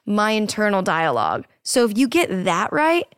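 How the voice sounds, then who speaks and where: A woman in her twenties hosting a podcast, chatty and encouraging, swearing casually in relaxed talk. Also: medium pitch, moderate pace, clear, resonant tone, dry recording, studio quality. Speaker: podcast host.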